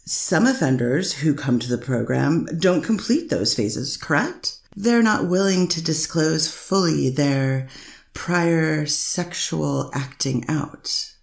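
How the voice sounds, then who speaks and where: A woman's voice: sits low, expressive, slow pace, gravelly, reverberant, full character voice. A woman voicing a careful lawyer, questioning a witness step by step in a courtroom reading. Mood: steady questioning. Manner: methodical questioning, many "is that fair to say". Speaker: defense attorney.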